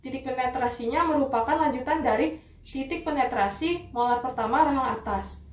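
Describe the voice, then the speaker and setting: A young woman with a fairly high voice presents a rehearsed demonstration, politely and step by step. She sounds a little far from the mic in a very echoey room, with faint noise behind her. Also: normal speed. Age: young adult. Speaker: dental student operator giving a skills demonstration. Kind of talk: rehearsed instructional demonstration with patient role-play.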